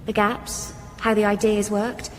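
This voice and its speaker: A woman's voice: medium pitch, little pitch movement, a little slow, a little hiss or hum, close to an everyday voice. A woman playing an impulsive, paranoid young heroine, insistent and accusatory, in a comic radio drama. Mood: accusing, frantic. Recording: hall reverb with music behind.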